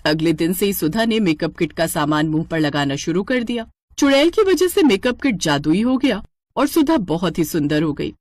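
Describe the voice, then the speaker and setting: A woman's voice: lowish voice, big pitch swings, normal speed, dry recording, studio quality. A woman storyteller reading scripted narration of a tale.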